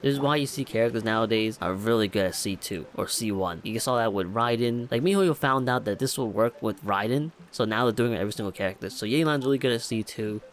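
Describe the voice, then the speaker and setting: A man's voice: lowish voice, fast, hardly any pauses. A young man giving casual let's play commentary, chatty, slangy and amused. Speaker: gaming YouTuber.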